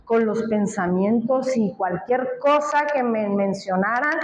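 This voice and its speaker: A woman's voice: fairly high pitch, slow, reverberant, highs cut off, a little hiss or hum. A woman from Colombia preaching a sermon, speaking emphatically and asking the congregation questions. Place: church.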